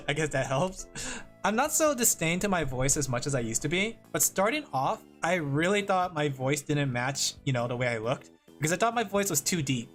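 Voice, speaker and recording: A man's voice: on the high side, big pitch swings, normal speed, fairly bright tone, soft background music. Rambling, laughing male streamer. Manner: rambling and self-deprecating, laughs often. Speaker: vtuber streamer. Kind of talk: casual livestream chat while drawing.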